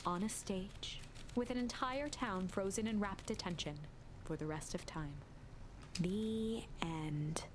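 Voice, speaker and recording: A woman reading game narration aloud like a dramatic storybook, in a theatrical, measured manner. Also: medium pitch, noisy background. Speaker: let's play narrator. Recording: loud music behind the voice.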